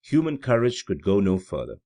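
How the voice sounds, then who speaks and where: A man's voice: lowish voice, huge pitch swings, fairly quick, mellow. A man reading literary fiction aloud as scripted audiobook narration. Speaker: audiobook reader.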